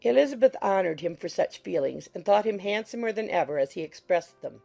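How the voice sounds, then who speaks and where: A woman's voice: mid-range voice, expressive, brisk, gravelly, dark tone, soft background music. A woman reading scripted literary narration as the narrator of an audiobook.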